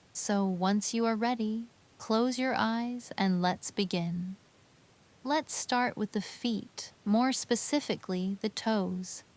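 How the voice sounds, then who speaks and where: A woman narrating a scripted guided sleep meditation, giving gentle, soothing, unhurried instructions. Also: fairly high pitch.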